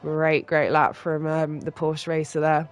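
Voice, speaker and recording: Stuffy-nosed excitable race commentator. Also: medium pitch, soft background music. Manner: excitable, quick reactions to lap times. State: stuffy from a cold. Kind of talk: live sim racing commentary.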